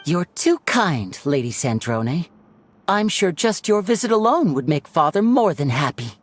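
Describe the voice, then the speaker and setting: A woman's voice: on the low side, sing-song, a little slow, faint music behind. An actress playing a polite, gracious young woman in a video game, with a courteous, poised manner.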